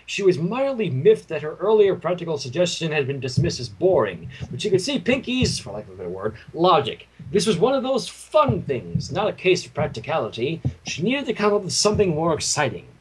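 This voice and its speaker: A man narrates a fan story in a theatrical storytelling manner. His voice sits high for a man and moves animatedly, with faint noise in the recording. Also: sing-song, brisk, hardly any pauses, poor audio. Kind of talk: dramatic fan fiction narration.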